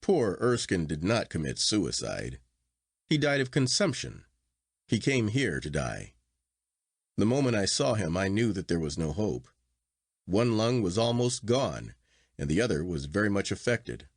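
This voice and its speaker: A man voicing a frank, formal elderly English gentleman doctor in a literary story, matter-of-fact in manner. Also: fairly low pitch, sing-song, unhurried, breathy edge, no room sound, studio quality, heavily acted. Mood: frank, grave.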